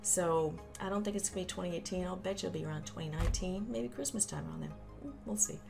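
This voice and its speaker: A woman's voice: fairly low pitch, fast, gravelly. A woman giving a casual, chatty explainer on a game update, with informal asides and folksy exclamations. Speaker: gaming channel host. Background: background music.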